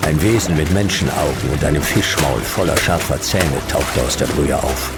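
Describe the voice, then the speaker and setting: A man's voice: rumbling low, moderate pace, over loud music. A man playing a hard-boiled, world-weary detective in a radio play, speaking in terse, clipped sentences. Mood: grim, weary. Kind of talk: radio play narration, noir.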